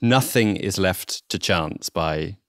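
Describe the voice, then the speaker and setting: A man's voice: sits low, animated, slow, no room sound, clean studio sound. A man co-hosting a podcast in a casual, self-deprecating, conversational way. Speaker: podcast co-host.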